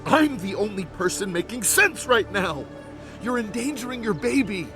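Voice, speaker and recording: An actor playing an exasperated, urgent brother-in-law in a video game drama, insistent and pleading. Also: male voice, high voice, lively intonation, normal speed, over music.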